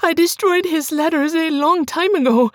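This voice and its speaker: Terrified pleading young woman, acted by a woman; high, tearful, strained, dry sound. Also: normal speed, dry recording. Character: frightened young woman pleading with her lover.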